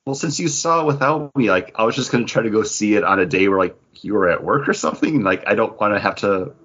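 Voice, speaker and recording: Man, podcast host, deep husky voice, casual joking chat, dull lo-fi sound. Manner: animated, joking, quick asides.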